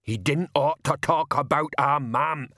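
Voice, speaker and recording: A man voicing a huge, simple, childlike male thug with a deep voice. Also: unhurried, bright, forceful tone, no room sound, clean studio sound, full character voice. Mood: sulky.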